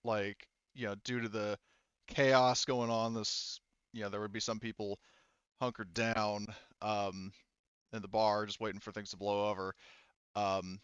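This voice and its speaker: Man game-mastering, smooth but slightly muffled. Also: fairly low pitch, little pitch movement, unhurried, fairly bright tone, dry recording. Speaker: game master of a tabletop RPG. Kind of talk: casual improvised game narration and rules explanation.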